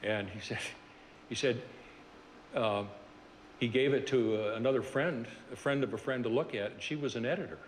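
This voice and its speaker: An older man giving a university seminar talk, reflecting on his career in a halting way, full of "uh"s and restarts. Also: medium pitch, long reverb tail, slight background noise. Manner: halting, many fillers and restarts. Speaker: seminar speaker. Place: large university seminar room.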